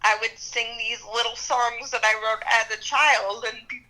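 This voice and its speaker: A young woman speaks bluntly and outspokenly, with a bright, forceful edge to her voice. She's a musician and activist giving a casual interview and laughing easily. The sound is a little phone-like. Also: on the high side, fairly even intonation, normal speed. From New Jersey and New York area.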